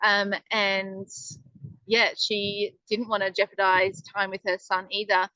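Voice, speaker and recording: A woman's voice: medium pitch, completely flat delivery, slow, sharp tone, no echo, lo-fi sound. A woman presenting a casual webinar in a chatty, upbeat way, with lots of ums. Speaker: online business presenter.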